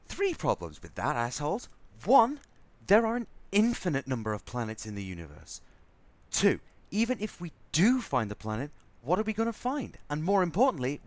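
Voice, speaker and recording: A man's voice: high-pitched, dry recording, heavily acted. An actor playing an exasperated, sarcastic, foul-mouthed crewman in a science fiction audio drama. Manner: sardonic, ranting.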